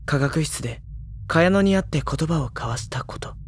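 An actor playing a mild, bookish high school boy with dry retorts, narrating and talking in an audio drama. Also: lively intonation, moderate pace, slight background noise, lightly acted. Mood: calm, reflective.

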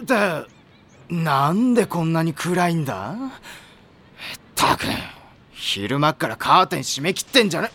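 A man playing a loud, hot-blooded, easily spooked high school volleyball boy in an anime audio drama, with blustering, rough slang. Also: very animated, moderate pace, pauses often, exaggerated voice.

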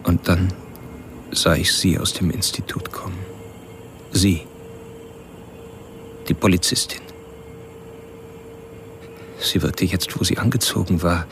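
Low, creaky, obsessive male killer narrating. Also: noisy background. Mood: tense, watchful. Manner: deliberate, literary, confessional. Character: obsessive, self-aware male killer narrating his stalking. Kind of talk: first-person crime radio drama narration.

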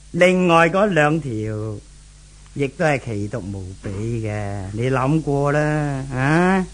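A menacing brothel boss in a seventies Hong Kong Cantonese radio serial. The delivery is sneering and theatrical, swinging widely in pitch. Grunts and sharp questioning barks punctuate the bullying. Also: male voice, animated, slow. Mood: gloating threat. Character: cruel, menacing brothel boss who bullies captives. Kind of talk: 1970s radio drama acting.

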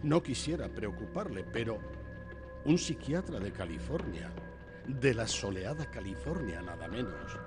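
An actor with a Castilian Spanish accent playing a wily, chatty homicide lieutenant who questions in a rambling, insinuating way, in a radio drama. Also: male voice, fairly low pitch, sing-song, unhurried. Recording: music under the voice.

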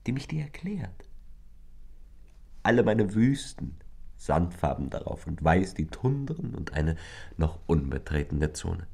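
Rapturous lover in an old style radio play, deep creaky voice, slow poetic fervent delivery, kinda breathy. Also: male voice, very animated, dark tone, exaggerated voice. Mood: tender wonder. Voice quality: low, gravelly, often breathy voice. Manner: poetic, fervent, deliberate declamation. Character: rapturous male lover, a stranger in a rented room. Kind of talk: literary German radio play acting.

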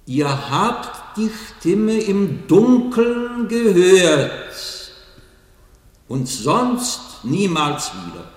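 A solemn, godlike, declaiming figure. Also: male voice, sits high, a bit bright, exaggerated voice. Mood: impassioned. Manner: grave, sweeping, declamatory. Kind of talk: radio play acting. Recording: echoey hall from far off.

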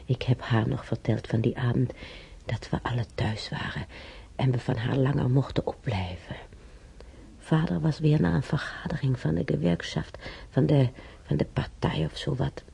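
A man playing an elderly German emigrant in a radio drama, reminiscing in a rambling, halting way with a German accent and husky, creaky voice. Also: medium pitch, steady tone, mellow, heavily acted. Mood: nostalgic. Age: older. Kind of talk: intimate radio drama monologue.

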